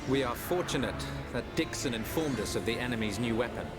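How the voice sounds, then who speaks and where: An actor playing a proud, earnest young prince who commands an army in a game cutscene, speaking in a stately, formal, oratorical way. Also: male voice, mid-range voice, expressive, fairly quick, lightly acted. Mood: resolute. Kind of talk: video game cutscene acting. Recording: very loud music behind the voice. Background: loud orchestral game music.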